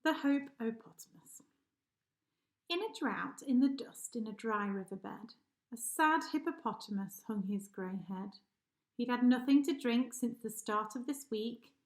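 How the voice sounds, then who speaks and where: Slow, high-voiced woman reading stories. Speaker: teacher reading to pupils. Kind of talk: expressive children's story reading. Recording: echoey room.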